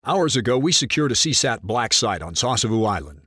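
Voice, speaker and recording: A man acting as a composed, brisk military commander giving clipped, authoritative briefings in a video game cutscene. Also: medium pitch, big pitch swings, sharp tone, husky, no echo, clean studio sound, full character voice. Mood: grave, businesslike. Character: composed, brisk military intelligence commander running covert operations.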